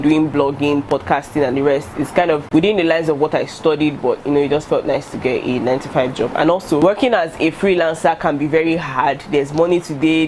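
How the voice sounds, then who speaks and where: A woman's voice: deep voice, a little mellow, slight background noise. A young woman with a Nigerian accent and Yoruba as her first language, talking candidly to camera in a casual vlog with fillers and restarts. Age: young adult. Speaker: YouTuber. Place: indoor room in front of a striped curtain. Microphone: camera in front at head-and-shoulders framing.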